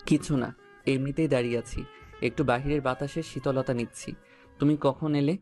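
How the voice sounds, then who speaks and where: A man acts a young husband madly in love, tender and earnest. His mid-pitched voice speaks in a romantic audio drama with faint guitar music behind it. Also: fairly even intonation, moderate pace, a little mellow, close to an everyday voice. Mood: calm.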